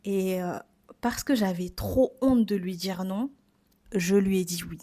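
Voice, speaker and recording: A woman's voice: medium pitch, fairly even intonation, a little slow, warm tone. A woman in her twenties with a French accent from France, hosting a personal, reflective podcast in an informal, confiding, conversational way. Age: 20s. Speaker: podcast host.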